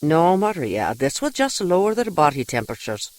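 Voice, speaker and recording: An actress playing a brisk, authoritative Mother Superior in an audio drama, bossy and no-nonsense. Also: low voice, very animated, heavy background noise. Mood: exasperated command.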